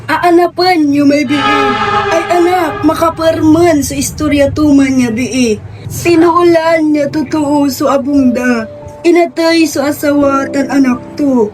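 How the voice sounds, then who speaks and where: Young woman acting slow, high-voiced old village woman. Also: low-quality recording. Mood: grave, foreboding. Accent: Pangasinan. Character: elderly village woman recounting a tragic, frightening tale. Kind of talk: student radio drama acting. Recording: loud music bed under voice.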